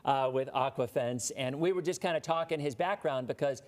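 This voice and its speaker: A man's voice: mid-range voice, fairly quick. A man hosting a live weather show, chatting loosely with a guest and greeting viewers by name. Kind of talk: casual live TV interview chat.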